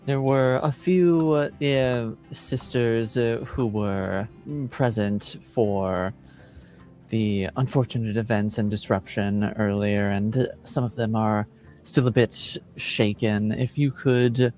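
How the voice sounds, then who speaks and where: A man voicing a polite, composed female cathedral elder in a tabletop fantasy game, halting and courteous. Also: on the low side, a little mellow, faint music behind. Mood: courteous, concerned.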